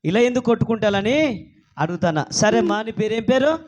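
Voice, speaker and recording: A man's voice: high voice, animated, brisk, darkish tone, dull audio. A man with a Telangana accent hosting a children's puppet show, bantering playfully, coaxing and repeating things back as questions.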